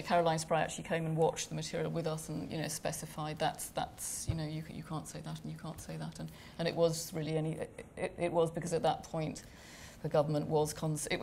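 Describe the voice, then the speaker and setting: A woman's voice: sits low, creaky, a little hiss or hum. A woman film director answering questions on a panel, hesitant, with many fillers, in a large gallery room.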